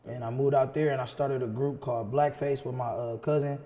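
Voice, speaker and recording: A relaxed thirty-something Indiana guy you'd hear as the guest on a local rap podcast, chatting in slang in a ringing room. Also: mid-range voice, brisk. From South Bend, Indiana, United States. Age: 30s. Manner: slangy, loose, frequent "you know". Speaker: podcast guest, rapper and music producer. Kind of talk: casual chat. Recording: reverberant room.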